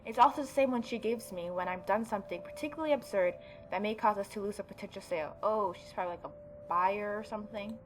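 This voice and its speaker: A woman gaming YouTuber reading a story game aloud and adding expressive, mocking asides. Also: mid-range voice, quick pace, faint music behind, rough recording. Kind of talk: let's-play reading aloud with reaction commentary.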